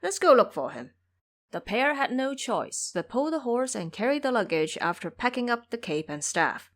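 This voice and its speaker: A woman's voice: on the high side, expressive, normal speed. A woman narrator telling a story in a dramatic, scripted audio drama style.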